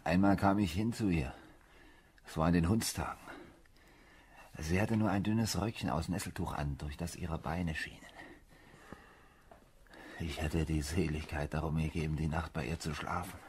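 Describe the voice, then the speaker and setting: A man acting a wounded, lustful gentleman officer in a radio play. He confides dramatically in a low voice, with dishes and cutlery clattering behind him. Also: moderate pace, pauses often, a little hiss or hum, lightly acted. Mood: wistful, wounded. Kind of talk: German radio drama dialogue.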